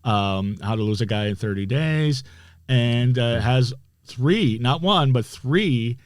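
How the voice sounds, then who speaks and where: A man hosting a podcast, excitable and eager, talking up his guest in a casual chat. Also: medium pitch, slow pace, dry recording, studio quality. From born in Brooklyn. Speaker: podcast host.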